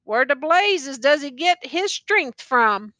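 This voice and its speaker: A woman's voice: high-pitched, very animated, takes it slowly, pushed and bright, dry recording, exaggerated voice. A woman voicing a ragged male soldier who speaks bluntly in folksy rural dialect. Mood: urgent.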